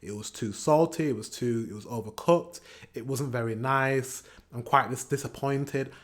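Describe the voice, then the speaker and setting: A man's voice: mid-range voice, normal speed. British male reaction host, mid-range voice, casual, chatty and opinionated. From England. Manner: chatty, acts out example exchanges.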